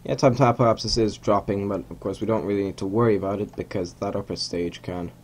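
A young Hungarian man with a fairly low voice talks through a simulated rocket launch from his desk at home. He rambles, corrects himself mid-sentence and tosses in chuckling asides, with a faint hum behind him. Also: steady tone, fast, darkish tone. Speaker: gamer narrating a rocket launch. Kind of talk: casual game commentary. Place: small room at home.

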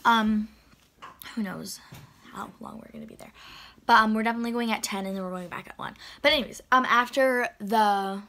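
A teenage girl talks to her phone camera at arm's length in a hotel room, her voice sitting a little high. She rambles in a loose, chatty way, full of "like" and "um" as she goes. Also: steady tone, a little fast, a bit bright. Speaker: vlogger. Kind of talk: casual travel vlog chat. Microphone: phone camera held at arm's length.

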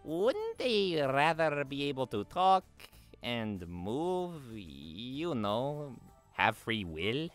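A man puts on a good-natured cartoon plumber hero hosting a comedy show. The delivery is friendly and teasing, unhurried overall, with very animated swoops in pitch and music underneath. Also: exaggerated voice. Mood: musing. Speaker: show host. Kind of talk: cartoon fan dub comedy banter.

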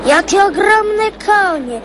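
A woman's voice: very high-pitched, expressive, takes it slowly, background noise, exaggerated voice. An actress playing a curious, eager little boy in a radio play. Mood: excited.

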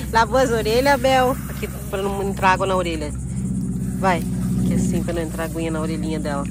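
A woman's voice: on the low side, steady tone, a little fast, very noisy. Chatty Brazilian woman vlogging outdoors. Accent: Brazilian Portuguese. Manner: chatty, addresses viewers directly. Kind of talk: casual vlog to camera. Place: outdoors at a campground and in a car in hot weather.

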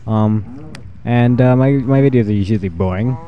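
Low, rambling fourteen-year-old gamer boy. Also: normal speed, dull audio, a little hiss or hum. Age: 14, teenage boy with newly deepened voice. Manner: rambling, self-deprecating, trails off. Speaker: gaming channel host. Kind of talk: casual gameplay commentary.

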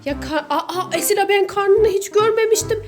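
A woman's voice: very high voice, moderate pace. A woman playing a mother in a children's skit video, instructing, exclaiming and chiding as she goes. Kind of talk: play-acted family skit with child.

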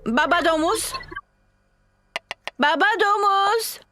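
A woman voicing a gentle, practical mother pig in a children's cartoon dub, with a motherly, expressive and clear delivery. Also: extremely high pitch, slow pace, a little hiss or hum, heavily acted. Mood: calling, firm.

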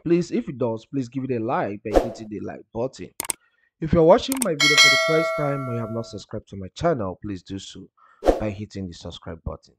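A man guides listeners through a software tutorial one step at a time. His intonation is lively, rising and falling widely at a middling pitch, with short phrases that open on an emphatic word. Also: fairly quick, very noisy. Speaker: tutorial presenter.

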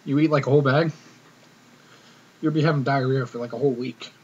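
A young man chatting casually to camera in a cocky, slangy, animated way, like a comedic vlogger. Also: mid-range voice, fairly quick, slightly rough. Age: young adult. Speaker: vlogger, prank caller. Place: small room indoors. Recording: dull webcam audio with noticeable hiss.

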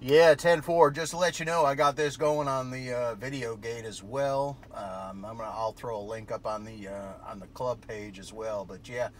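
A man's voice: mid-range voice, fairly even intonation, normal speed, hardly any pauses. A man talking casually as a radio operator, rambling through jargon, recorded on a cell phone. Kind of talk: casual radio contact chat. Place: vehicle parked at night. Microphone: cell phone held near the radio. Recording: cell phone video with clear background noise.

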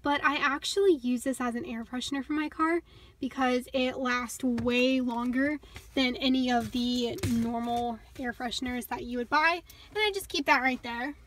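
A woman's voice: sits high, steady tone, normal speed, slight background noise. A young woman giving a chatty, upbeat tour of her car, recorded on a handheld phone inside the parked car. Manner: chatty and upbeat, with little laughs. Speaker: vlogger. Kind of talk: casual car tour walkthrough. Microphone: phone held in hand.

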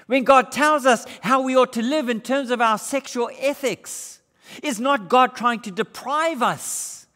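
A man's voice: extremely high pitch, unhurried. Emphatic preacher, older man. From Australia. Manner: emphatic, wide swings between raised and hushed delivery; gestures broadly while preaching. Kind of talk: sermon. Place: church hall with brick walls. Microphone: far camera. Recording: reverberant church hall.

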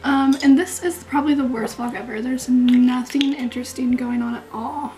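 A high-voiced young woman chats in a casual, gushing way to camera in a small bedroom. Her throat is a bit raspy from a recent illness, and she slips into soft whispers mid-sentence while working. Faint music sits underneath. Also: fairly even intonation, moderate pace. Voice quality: raspy, throat not clear. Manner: chatty, gushing, drops to whispers while doing makeup. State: recovering from illness, clearing throat. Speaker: beauty vlogger. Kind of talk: casual vlog chat. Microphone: camera mic, close framing.

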